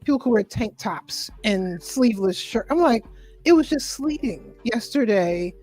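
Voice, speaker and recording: A woman's voice: fairly high pitch, expressive, unhurried, soft background music. A woman, a guest telling stories in a casual chat. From Queens, New York. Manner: chatty, warm affirmations, storytelling. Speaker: guest, wellness coach and poet.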